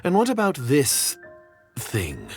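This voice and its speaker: A man playing a wary, gruff, curt enemy agent in a video game, with a husky voice. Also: on the high side, huge pitch swings, takes it slowly, a little mellow, soft background music, exaggerated voice. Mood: disdainful. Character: wary, gruff villainous foreign agent, male, suspicious and curt. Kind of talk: video game character acting.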